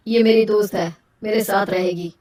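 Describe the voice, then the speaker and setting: A woman's voice: medium pitch, steady tone, moderate pace, darkish tone, natural voice. A woman acts a spoiled, stubborn young man from a cartoon village story. He talks bluntly and insistently in a roomy, echoing space, a bit away from the mic. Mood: blunt, annoyed. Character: self-centred, stubborn young man, a spoiled rich son. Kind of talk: animated moral story dialogue. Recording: roomy echo, distant from mic.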